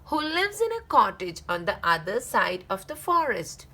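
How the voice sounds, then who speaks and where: A woman's voice: mid-range voice, very animated, normal speed, bright, forceful tone. A woman voicing an innocent, curious little girl from a storybook, wide-eyed and exclaiming. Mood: matter-of-fact.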